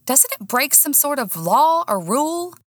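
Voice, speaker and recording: A woman voicing a hot-tempered, heartbroken Texan woman with a twang that turns squeaky when she is worked up. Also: sing-song, a little slow, a bit bright, dry recording, studio quality, exaggerated voice. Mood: hurt, indignant. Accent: Texas.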